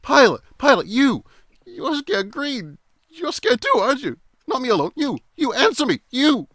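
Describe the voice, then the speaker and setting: A man's voice: very high voice, big pitch swings, normal speed, a bit bright, dry recording, exaggerated voice. Casting: man as cowardly radio-play blusterer, accusatory and stammering, big swoops in inflection, dry close sound, melodramatic terror. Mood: accusing, frantic. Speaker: voice actor. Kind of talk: 1940s radio drama acting.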